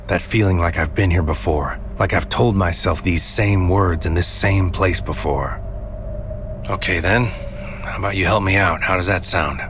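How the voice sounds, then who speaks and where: An actor playing a world-weary psychic agent in a noir audio drama, speaking in a terse, brooding, deliberate way. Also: male voice, sits low, noisy background, close to an everyday voice. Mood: controlled, steady. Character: world-weary psychic agent man narrating his dangerous mission.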